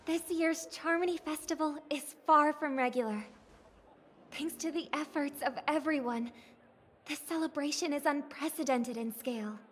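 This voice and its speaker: An actress playing a poised young singer idol who gives a measured, formal, gracious ceremonial speech in a game. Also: female voice, sits high, fairly bright tone, a little hiss or hum.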